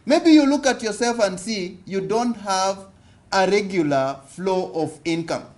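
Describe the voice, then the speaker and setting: A Kenyan man with a fairly high voice addresses a room at a business forum. He speaks slowly and deliberately, putting questions to the audience and going back over his points. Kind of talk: interactive seminar talk. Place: conference hall.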